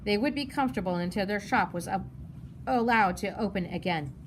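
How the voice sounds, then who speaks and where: A woman's voice: medium pitch, a little fast, low-quality recording. A woman narrating a children's novel as a read-aloud. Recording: noticeable background noise.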